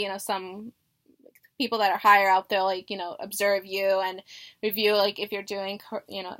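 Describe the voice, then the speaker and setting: A young woman job applicant answering phone interview questions in a nervous, halting and hesitant manner. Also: mid-range voice, a bit bright. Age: young adult.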